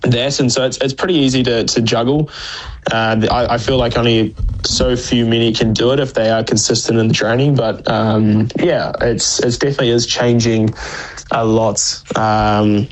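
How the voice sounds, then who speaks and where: Brief: male athlete guest, monotone, easygoing, restarts often. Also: mid-range voice, slight background noise, poor audio. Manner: laid-back, halting, many restarts. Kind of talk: casual interview chat.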